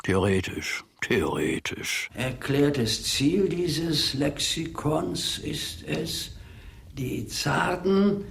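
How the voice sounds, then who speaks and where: An older actor in a radio play, playing a grumbling, self-important old manservant with a husky, gravelly voice, rambling pompously to himself. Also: male voice, fairly high pitch, big pitch swings, drawn-out words, a bit bright, full character voice. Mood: savouring, declaiming.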